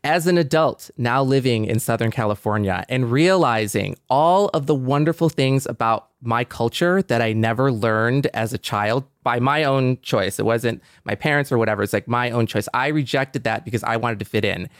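An American man speaking reflectively and earnestly in a conversational podcast discussion, pausing and repeating words as he goes. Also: medium pitch, no room sound, clean studio sound. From metropolitan Detroit, United States. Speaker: podcast panelist.

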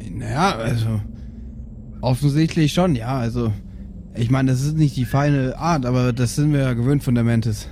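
A man's voice: mid-range voice, normal speed, darkish tone, a little hiss or hum, close to an everyday voice. A man playing an easygoing, simple-minded alien father in an improvised audio play, talking in a mild, rambling, casual way.

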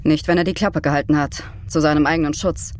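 An actress playing a brisk, defensive astronaut crewmate in an audio drama. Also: female voice, low-pitched, steady tone, a little hiss or hum, natural voice. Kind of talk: German audio drama acting.

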